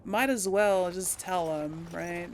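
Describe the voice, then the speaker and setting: A woman's voice: lowish voice, slow pace, poor audio. A woman hosting a casual role-play Let's Play, chatting and thinking aloud as she plays. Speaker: gaming host. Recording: faint noise, game ambience behind.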